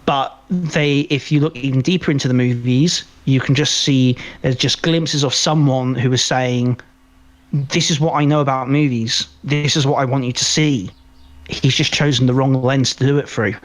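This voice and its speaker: A man co-hosting a podcast in casual film review chat, rambling and halting as he repeats words mid-sentence. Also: medium pitch, fairly even intonation. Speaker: podcast co-host.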